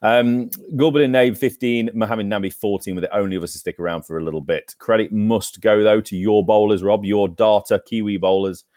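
Give me a quick-talking man with a deep voice, chatting about sport. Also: steady tone, a little mellow, no room sound, clean studio sound. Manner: chatty, rattles off stats. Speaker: podcast co-host. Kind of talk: casual cricket chat.

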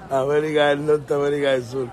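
A man from Cuba with a Cuban Spanish accent, ranting casually to his viewers, joking and swearing, often breaking into laughter. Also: on the high side. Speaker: vlogger.

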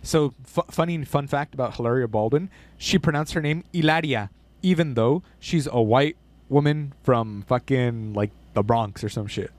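A man's voice: medium pitch, moderate pace, darkish tone. A young man hosting a casual podcast chat, swearing freely and reading out lists of names and numbers. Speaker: podcast host.

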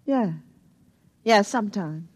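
A woman's voice: medium pitch, lively intonation, takes it slowly, a little mellow, husky, no echo. A woman playing a wary, streetwise young bar girl in an old-time radio drama, guarded and slangy. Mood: nervous.

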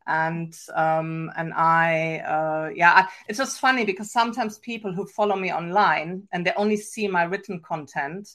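A woman's voice: fairly low pitch, steady tone, moderate pace, few pauses, a bit bright. A middle-aged woman from Germany with a German accent touched by British, chatting casually as an interview guest, full of fillers. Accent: German, with a trace of British. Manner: chatty, filler-heavy, frequent little laughs. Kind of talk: casual live interview chat.

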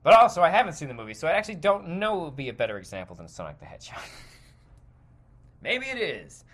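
A man's voice: on the high side, wildly swooping pitch, fast talker, a bit bright. A man hosting a podcast, delivering a casual, opinionated rant in a rambling, digressive and emphatic way. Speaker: podcast host.

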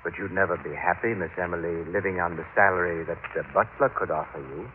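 Man playing a deep-voiced, servile scheming butler. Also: fast, lightly acted. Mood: reassuring, deferential. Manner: deferential, insinuating. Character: servile, scheming butler, soft-spoken and coldly calculating. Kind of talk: old-time radio drama acting. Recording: tinny, noisy old radio recording.

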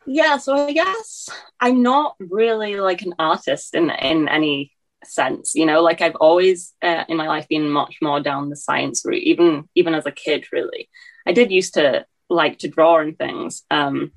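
A woman's voice: sits low, measured pace, low-quality recording. A woman in her twenties with an English accent tinged with Scottish, answering interview questions hesitantly, with many fillers and restarts. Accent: English with a slight Scottish tinge. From England. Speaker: guest.

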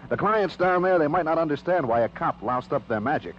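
An actor playing a surly, sarcastic movie projectionist in a 1950s radio crime drama, mocking and defiant. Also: male voice, fairly high pitch, lively intonation, brisk, lightly acted. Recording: muffled old radio, background noise.

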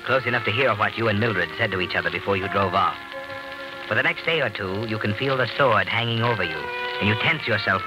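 An actor as a fast, ominous suspense narrator. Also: male voice, mid-range voice, lightly acted, low-quality recording. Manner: sardonic, knowing. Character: ominous narrator taunting the guilty in second person. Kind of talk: 1940s radio suspense narration. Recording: old radio recording, loud music behind.

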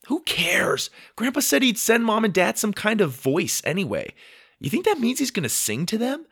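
A man voicing an excitable, mischievous young boy in a children's story, full of exclamation. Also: high voice, moderate pace, husky, pitched above the performer's own voice, exaggerated voice. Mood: excited, cheeky.

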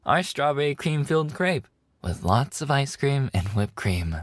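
A man acts out a young animal-boy café maid in an ASMR roleplay. He is affectionate and teasing, speaking slowly and cutely at a medium pitch for a man. The sound is dry and intimate, with very little room. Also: big pitch swings, no room sound. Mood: clingy, affectionate. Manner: teasing, cutesy, clingy, giggly.

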